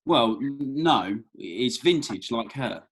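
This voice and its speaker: A man acts out an eager young man on a date in a screen comedy read-through. His mid-pitched voice is noticeably husky, and he sounds hesitant and earnest as he tries to impress. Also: lively intonation, slow. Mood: awkward, earnest. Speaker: actor in a script read-through. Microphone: video call.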